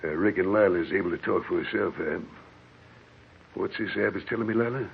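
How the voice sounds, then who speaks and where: An actor playing a jealous, vengeful old American desert prospector with a rustic Western drawl in a radio horror play. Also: male voice, deep voice, a little fast, vocal fry, husky, heavily acted. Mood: hurt, suspicious. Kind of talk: 1940s radio horror acting. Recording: scratchy old radio recording, muffled, crackly.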